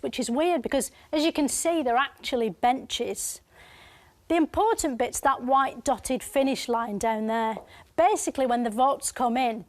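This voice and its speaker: Woman doing a clueless presenter, high voice, flat deadpan delivery, totally sure of herself. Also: lightly acted. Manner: deadpan, muddled, matter-of-fact. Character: dim, earnest female TV presenter. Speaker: comic presenter explaining election. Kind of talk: scripted deadpan explainer.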